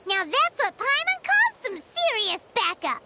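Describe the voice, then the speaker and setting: A woman voicing a tiny, excitable, childlike fairy companion in a video game, speaking in exclamations. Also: extremely high pitch, unhurried, very noisy, heavily acted. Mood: excited. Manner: exclamatory, refers to self in third person.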